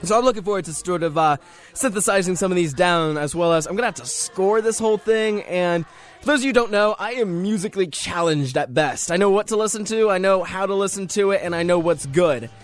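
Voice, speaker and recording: A man's voice: high voice, a little fast. A young man hosting a radio show solo, chatting casually in a rambling, jokey, self-deprecating way over music. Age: young adult. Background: music under the voice.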